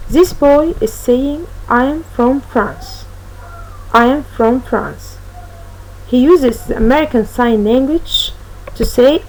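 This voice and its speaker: A woman teaching an English lesson to young children, speaking slowly and repeating each word for pupils to copy. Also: high voice, a little mellow, slight background noise, low-quality recording. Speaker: primary school English teacher. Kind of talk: recorded classroom lesson for children.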